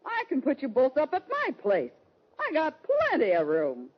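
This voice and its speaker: A woman's voice: sits high, huge pitch swings, moderate pace, loud music behind the voice. An actress playing a brassy, bossy big frontier woman with a Western American accent in an old-time radio drama. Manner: brash, scolding, folksy. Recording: dull, muffled old radio recording.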